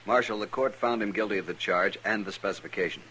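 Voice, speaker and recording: An actor playing a rigid, insistent cavalry lieutenant with an American accent, speaking in a clipped, formal way in a western radio drama. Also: male voice, fairly low pitch, fast, bright, forceful tone. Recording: noisy old radio recording.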